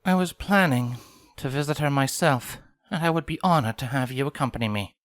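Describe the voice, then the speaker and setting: An actor playing a regal sun princess pony in an audio fan fiction drama. She is solemn, measured and formal, with a protective, sorrowful air and a mid-pitched voice, recorded dry. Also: no echo, natural voice. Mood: gentle, formal.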